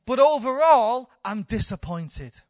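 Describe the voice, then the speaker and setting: A woman's voice: on the high side, very animated, measured pace, darkish tone, no echo, clean studio sound. A woman in her thirties, a church leader, giving an informal, candid sermon with self-deprecating humour. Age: 30s.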